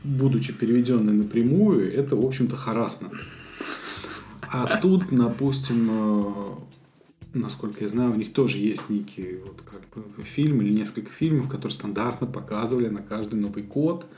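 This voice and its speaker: A man from Russia co-hosting a podcast, talking in a thoughtful, halting way with many fillers. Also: lowish voice, mellow. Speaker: podcast co-host. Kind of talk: casual conversation.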